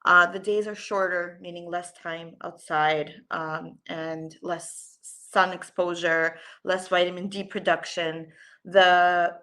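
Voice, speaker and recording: A woman's voice: on the low side, steady tone, slow, bright, forceful tone. A woman pediatrician giving an earnest, explanatory webinar talk over a video call, with frequent ums. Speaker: guest presenter, holistic pediatrician. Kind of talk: webinar presentation.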